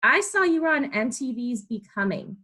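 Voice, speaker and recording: Bright-voiced young woman hosting a chat. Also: on the high side, sing-song, moderate pace. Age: young adult. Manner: informal, conversational, chatty. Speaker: podcast host, interviewer. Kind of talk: casual interview chat.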